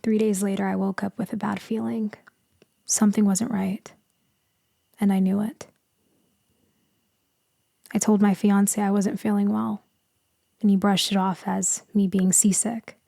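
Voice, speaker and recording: Slow, hushed, melancholy vampire woman, acted by a woman. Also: medium pitch, little pitch movement, dry recording, studio quality. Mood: uneasy, somber. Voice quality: soft, breathy, often whispering. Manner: slow, hushed, wistful, intimate. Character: gentle, melancholy young-looking immortal female vampire from New York. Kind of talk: storytelling sleep ASMR roleplay.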